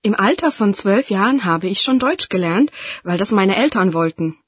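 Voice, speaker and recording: A young woman reading a scripted part as a student, friendly and bright. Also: medium pitch, lively intonation, moderate pace, slightly rough, no echo, studio quality. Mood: cheerful. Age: young adult. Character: young Dutch female student, 19. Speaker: student speaking in a listening exercise. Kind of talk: scripted reading for a listening test.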